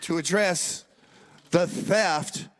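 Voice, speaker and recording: A man's voice: sits high, very slow, dry recording, clean studio sound. A man playing an aggrieved, melodramatic official making a pompous public plea in radio comedy. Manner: pompous, oratorical, pleading. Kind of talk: comedy radio theater speech. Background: music.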